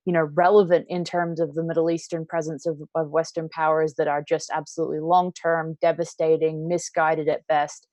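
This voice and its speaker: A woman's voice: low-pitched, little pitch movement, brisk, few pauses, a little mellow, dry recording. A woman from the United States giving conversational political commentary, searching for her phrasing as she goes. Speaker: panel co-host.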